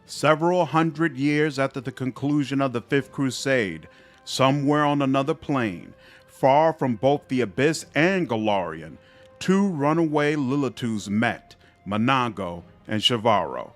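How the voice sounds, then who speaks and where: A man narrating fantasy game epilogues in a measured, expressive storybook style. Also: mid-range voice, close to an everyday voice. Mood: solemn storytelling. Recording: music under the voice.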